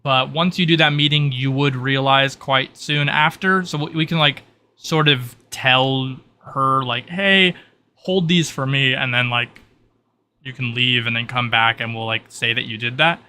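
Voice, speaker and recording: A man's voice: medium pitch, level tone, moderate pace, bright, forceful tone, clear, resonant tone. A man running a tabletop roleplaying game as game master, casually explaining rules in a conversational way.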